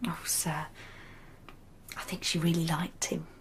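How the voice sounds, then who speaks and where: Nervous young maid, working class english accent, very sorry-sir and hesitant, radio drama, bit of background noise. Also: female voice, deep voice, level tone, measured pace. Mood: warming, eager. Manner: hesitant, apologetic, deferential. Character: timid, earnest young housemaid, soft-hearted and deferential.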